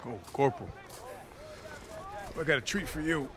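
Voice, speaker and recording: A man's voice: mid-range voice, moderate pace, background noise, lightly acted. An actor playing a wisecracking, sardonic American infantryman in a war game. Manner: sardonic, brash. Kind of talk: cinematic war game acting. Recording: loud score and battle sounds behind.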